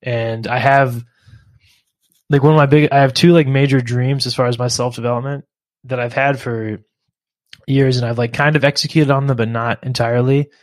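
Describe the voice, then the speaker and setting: A man's voice: medium pitch, normal speed, dry recording. Laid-back guy from philly, chill chatty vibe, says dude a lot, mid voice, dry close sound. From Philadelphia area. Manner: easygoing, relaxed, uses slang like dude and man. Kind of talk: casual conversation.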